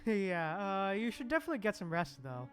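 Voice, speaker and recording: A woman's voice: medium pitch, very animated, brisk, music in the background. A woman streaming a game, reacting casually in short, offhand remarks. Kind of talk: casual chat, livestream reactions.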